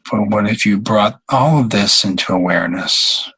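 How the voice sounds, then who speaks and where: A man leading a guided meditation in a halting, unhurried way, with long pauses between phrases. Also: on the low side, fairly bright tone, slightly rough, poor audio.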